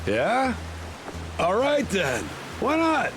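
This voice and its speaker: A man's voice: high-pitched, wildly swooping pitch, very slow, music in the background, exaggerated voice. A man voicing an easygoing pirate crewman in a video game, with a breezy, colloquial manner.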